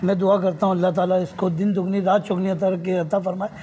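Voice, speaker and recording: High-voiced man, deferential and eager. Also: little pitch movement, fast talker, mellow, a little hiss or hum. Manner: deferential, eager, often addresses the teacher respectfully. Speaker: student in discussion with his teacher. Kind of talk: respectful religious conversation.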